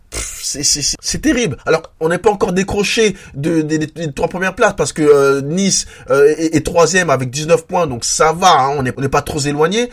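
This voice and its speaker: A man speaks with a blocked nose and a sore throat from a cold. His voice sits a bit high for a man, and he talks frankly, repeating words and restarting as he goes. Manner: frank, repetitive restarts, stammers when tired. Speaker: football podcast host. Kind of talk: solo match debrief podcast.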